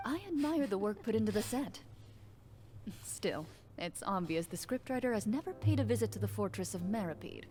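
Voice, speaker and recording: A woman voicing a composed, polite duelist who narrates a tabletop adventure in a video game, measured and formal. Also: mid-range voice, animated, dark tone, close to an everyday voice. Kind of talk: video game dialogue and storytelling.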